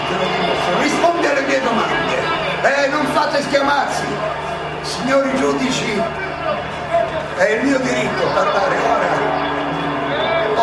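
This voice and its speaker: A man's voice: extremely high pitch, heavily acted. A male stage actor playing an elderly, ironic and indignant Athenian philosopher who argues his own defense in a theatrical, insistently questioning monologue. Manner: rhetorical, halting then insistent, questioning. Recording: echoey, distant, noisy live stage recording.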